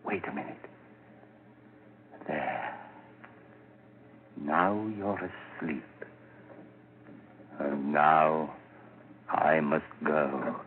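An actor playing a tormented, obsessive murderer in a hushed, halting 1940s radio horror style. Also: male voice, rumbling low, heavily acted. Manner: hushed, halting dramatic monologue. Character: tormented murderer whispering to himself, stealthy and obsessive. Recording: muffled, noisy 1940s radio recording.

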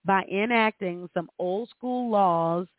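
A woman's voice: medium pitch, slow pace, no room sound. Woman speaking through a thin, phone-like line. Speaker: host of astrology forecasts. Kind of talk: spiritual commentary with anecdotes. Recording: thin, narrow phone-like sound.

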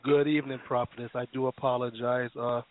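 A male minister preaching and praying on an internet radio show, his voice slightly raspy from illness. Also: medium pitch, level tone, fairly quick. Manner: fervent, preacherly, prays and reads scripture. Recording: dry tinny phone line.

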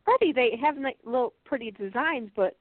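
A woman with a congested, stuffed-up voice talks through beauty products in a relaxed, chatty way, stumbling and restarting as she reads the labels aloud. Her voice comes through a thin, tinny, phone-like line. The sound is close and dry, with no room around it. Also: a little high, dry recording. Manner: chatty, halting restarts, light laughs. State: stuffed up nose. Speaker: co-host reviewing products. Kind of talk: casual unboxing chat, reading package text aloud.

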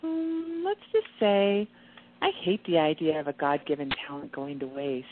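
A woman's voice: low-pitched, expressive, moderate pace, noisy background. A woman acting a gushing, theatrical singer who speaks effusively and affectionately, in a radio play. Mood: warm, sincere. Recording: tinny phone-like lo-fi audio.

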